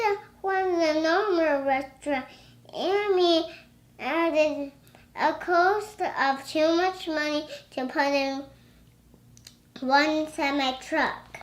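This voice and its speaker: A young girl reading a children's storybook aloud, halting and stumbling over words. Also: high voice. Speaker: young reader learning to read aloud.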